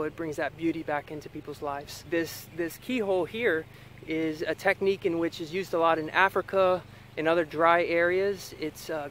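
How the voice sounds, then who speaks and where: American male vlogger, thirties, slightly higher pitch, casual explanatory tour delivery, outdoor handheld sound with faint ambient noise. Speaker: farm vlogger giving a tour. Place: outdoors on a farm. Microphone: camera at arm's length.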